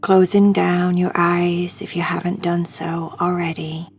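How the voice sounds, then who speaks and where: A woman guiding a sleep meditation in a hushed, soothing, breathy voice that often nears a whisper. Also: fairly low pitch, flat delivery, unhurried, faint music behind. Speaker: meditation guide.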